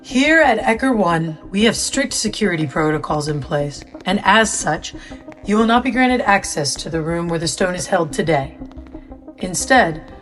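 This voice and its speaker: A woman playing an authoritative scientist in an audio drama, explaining things in a formal, measured way. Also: fairly low pitch, animated, a bit bright, faint music behind. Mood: stern, explanatory. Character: authoritative, formal female scientist briefing a newcomer. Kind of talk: scripted audio drama dialogue.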